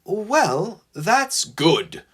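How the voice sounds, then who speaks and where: A narrator voicing an earnest, outspoken male tank engine with an excitable, emphatic manner. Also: mid-range voice, drawn-out words, slightly rough, voice pushed up, exaggerated voice. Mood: earnest concern.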